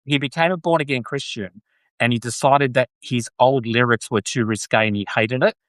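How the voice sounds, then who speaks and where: A man's voice: medium pitch, brisk, fairly bright tone, dry recording. A man with an Australian accent hosting a casual live podcast chat, chatty, with lots of ums and restarts. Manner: chatty, frequent ums and self-corrections. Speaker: podcast host.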